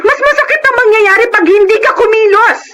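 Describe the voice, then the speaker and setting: A woman's voice: extremely high pitch, fairly quick, loud music behind the voice. A woman playing a nagging, demanding wife and mother in an emotional Filipino radio drama. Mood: reproachful. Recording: noisy radio drama recording.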